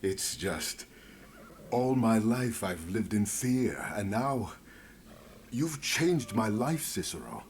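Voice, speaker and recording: A male actor playing a solemn, earnest man in a fantasy video game, speaking in a soft, measured, heartfelt way. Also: lowish voice, sing-song, a little hiss or hum, exaggerated voice. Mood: earnest, grateful.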